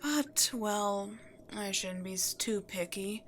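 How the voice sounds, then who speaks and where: A woman's voice: medium pitch, slow pace, a little hiss or hum, natural voice. A woman voicing an imperious, seductive demon queen in a scripted audio roleplay, theatrical and haughty, addressing the listener directly. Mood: smug, intimate. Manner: theatrical, breathy asides, haughty. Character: imperious demon queen, seductive, gloating, easily exasperated villain.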